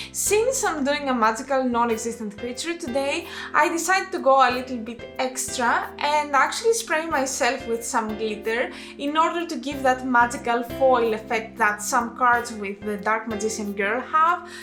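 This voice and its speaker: A woman's voice: high-pitched, a bit bright. A woman from Greece with a Greek accent, talking through a makeup tutorial in a chatty, explanatory way and stopping now and then to correct herself. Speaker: makeup artist and channel host. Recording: echoey room with faint music.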